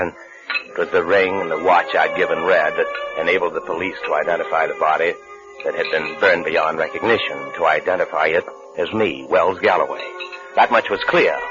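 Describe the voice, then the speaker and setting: A man's voice: deep voice, close to an everyday voice. An actor playing a brooding, desperate man on the run for murder, narrating his own story in a 1950s radio suspense drama. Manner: brooding, fatalistic first-person storytelling. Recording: muffled vintage radio recording with music.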